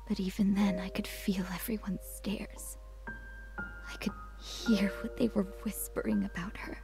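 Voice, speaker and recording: A woman playing a troubled, apologetic, grieving teenage girl in a visual novel, speaking softly and hesitantly. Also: fairly high pitch, lightly acted. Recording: music behind the voice.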